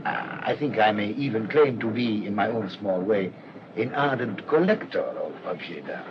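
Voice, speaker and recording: A man's voice: lowish voice, animated, normal speed. An actor playing a suave, inquisitive foreign clergyman in a radio play, unctuously courteous and probing. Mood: probing, intrigued. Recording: dull, noisy old radio recording.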